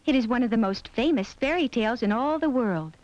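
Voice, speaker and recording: A woman's voice: on the high side, expressive, fairly quick, darkish tone, dry recording. A woman narrating a children's fairy tale from a script, as a storyteller. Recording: dull audio with music behind. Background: music.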